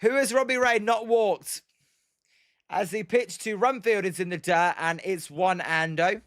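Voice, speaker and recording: A young British man with a fairly high voice gives loose play-by-play while reading out chat. His voice is slightly croaky, and he fills gaps with "um" and "as". The sound is close and dry, with no room around it. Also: a little slow, fairly bright tone, dry recording. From Britain. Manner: rambling, many "um"s and "as" fillers. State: slightly croaky from daily streaming. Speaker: live-stream baseball commentator. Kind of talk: casual play-by-play with chat replies.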